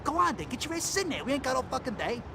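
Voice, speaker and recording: Bossy mob crew boss, very high and swooping, short-tempered, game cutscene, heavy noise behind. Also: male voice, very animated, fast, full character voice. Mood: irritated, bossy. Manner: pushy, profane, barking orders. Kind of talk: video game cutscene acting.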